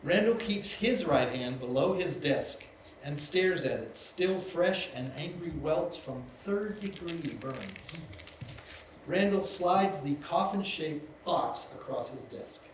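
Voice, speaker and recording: A man's voice: a little high, lively intonation, takes it slowly. A man reading scripted stage directions as narrator at a live table read. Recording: very echoey, distant, noisy stage recording.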